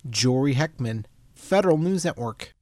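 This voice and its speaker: A man's voice: mid-range voice, animated, moderate pace, darkish tone, dry recording, clean studio sound. A man with an American accent reading a scripted news report.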